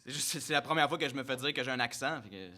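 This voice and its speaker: A man's voice: mid-range voice, fast talker, pushed and bright, a little hiss or hum. A man playing a slangy Beauce country guy. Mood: teasing. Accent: Beauce, Quebec. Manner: slangy, teasing. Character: blunt young man from the countryside, newly moved. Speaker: actor in a public reading. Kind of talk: staged play reading.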